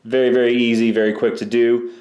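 A man's voice: medium pitch, little pitch movement, fast talker, a little mellow, roomy sound. A man explaining a bike repair step by step in a casual, practical way, in his workshop. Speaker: bike mechanic. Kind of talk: hands-on repair tutorial.

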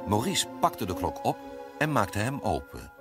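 A man's voice: lowish voice, sing-song, a little slow, creaky, over music. A man narrating a scripted fairy tale as a storyteller.

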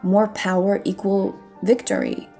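A woman's voice: mid-range voice, level tone, unhurried, dark tone, faint music behind, natural voice. A woman playing a bold, teasing pirate captain in an audio roleplay, speaking in a cocky, flirtatious manner. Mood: sly, businesslike. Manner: cocky, flirtatious, addressing listener with pet names.

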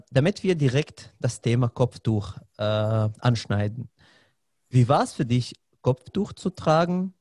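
A man's voice: medium pitch, a little mellow, no room sound. A man in his thirties hosting a podcast, asking interview questions with frequent fillers, on a studio mic in a small room. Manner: hesitant with many fillers. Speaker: podcast host. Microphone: studio mic with headphones.

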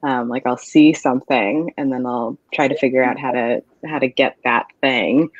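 A thoughtful woman interviewer in her thirties asks careful questions from a home office, pausing on "um"s. Her video-call audio is dull and very dry, with little room sound. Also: very deep voice, fairly even intonation, no room sound. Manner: thoughtful, measured, with "um" fillers. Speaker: interviewer, event host. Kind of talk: interview conversation.

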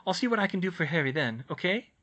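A man voicing an earnest, bossy teenage witch in a storybook, brisk and insistent. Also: high-pitched, animated, pushed and bright, dull audio, voice pushed up, exaggerated voice. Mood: urgent, reassuring.

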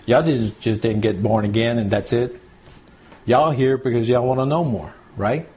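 A husky, mellow-voiced man with a drawl leads a home Bible study. He talks plainly and conversationally, circling back to repeat his points and checking in with the group. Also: medium pitch, normal speed. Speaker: pastor. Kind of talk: home church Bible teaching. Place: small home gathering, recorded to CD.

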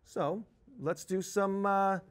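A man in his thirties with a fairly high voice teaches software in a conversational, emphatic way. He talks as a trainer walking through an on-screen demonstration, with some noise behind him. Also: fairly even intonation, takes it slowly. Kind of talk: tutorial presentation with on-screen demonstration. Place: indoor presentation studio at a desk.

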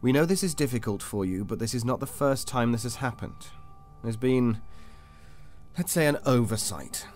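An actor playing a cold, detached interrogator in an audio drama, formal, controlled and insistent. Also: male voice, mid-range voice, moderate pace, a little mellow, lightly acted. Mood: clinical, explaining. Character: cold, clinical male interrogator of a captive. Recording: music under the voice.

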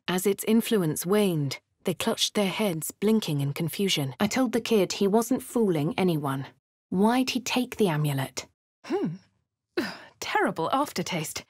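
Posh female adventurer, crisp English delivery, lowish pitch, dry studio sound. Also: darkish tone, no echo. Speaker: player character voice lines. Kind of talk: game voice lines and narration.

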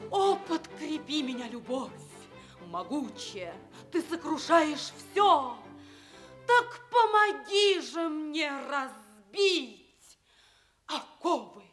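A woman's voice: high voice, very animated, drawn-out words, a bit bright, soft background music, heavily acted. An actress playing a scheming, passionate noblewoman in a fairy-tale radio drama, declaiming theatrically. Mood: impassioned. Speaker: stage actress.

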